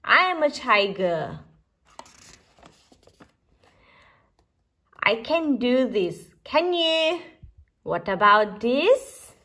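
A woman's voice: fairly high pitch, sing-song, slow pace, a bit bright, heavily acted. A woman voicing a small, boastful, stubborn storybook mouse who insists on being a tiger. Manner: insistent, cocky declarations.

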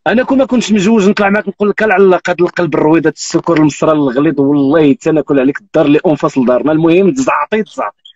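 A man's voice: fairly high pitch, very fast, a little mellow, lo-fi sound. A Moroccan man in his thirties hosting a live stream, teasing and telling jokes with frequent oaths. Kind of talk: joking casual chat. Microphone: phone on live video call.

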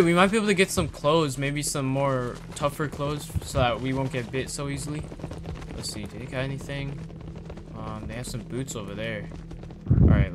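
A man improvising informal roleplay chat in a video game, reacting in an animated way. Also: medium pitch, heavy background noise. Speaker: roleplay video host and player.

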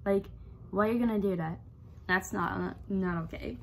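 A young woman talks straight to camera from her bedroom, her voice sitting low. She rambles earnestly and circles back over her own points, recorded up close on a device. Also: normal speed, slight background noise, rough recording. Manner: rambling, repeats herself, earnest. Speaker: vlogger. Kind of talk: casual talk to camera. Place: bedroom, indoors. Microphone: device camera at close range.

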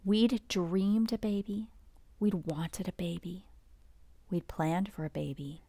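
Slow-reading woman, soft bedtime storyteller. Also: on the low side, dry recording. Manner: gentle, unhurried, expressive storybook delivery with soft drops. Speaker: storyteller reading a picture book aloud. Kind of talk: bedtime story read-aloud.

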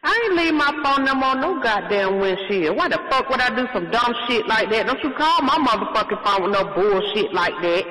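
A man's voice: high voice, animated, fairly quick, hardly any pauses, pushed and bright. A man arguing heatedly on a phone call, profane and confrontational. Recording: echoey phone call, long reverb.

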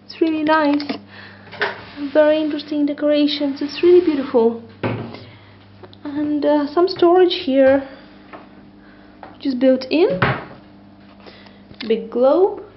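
High-voiced woman giving a slow apartment tour. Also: muffled recording, slight background noise. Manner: hesitant, many ums, enthusiastic descriptions. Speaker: property tour presenter. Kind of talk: walkthrough tour narration. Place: small loft studio apartment, indoors. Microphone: camera mic, handheld camera.

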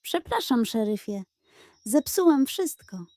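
A woman voicing a small, nervous but eager male hedgehog sidekick, earnest and excitable, in a children's story. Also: fairly high pitch, slow, no echo, exaggerated voice. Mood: ashamed.

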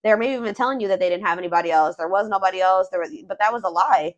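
A woman's voice: medium pitch, racing, highs cut off. Chatty woman reading tarot aloud. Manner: thinking aloud, many fillers and restarts. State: voice going hoarse. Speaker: tarot reader. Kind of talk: casual tarot reading narration.

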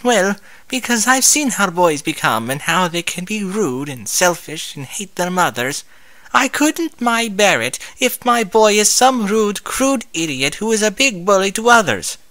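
A man's voice: sits high, very animated, unhurried, sharp tone, no echo, pitched above the performer's own voice, exaggerated voice. A man voicing a refined, fretful female pony, an anxious new mother in a story, fussy and dramatic. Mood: anxious, upset.

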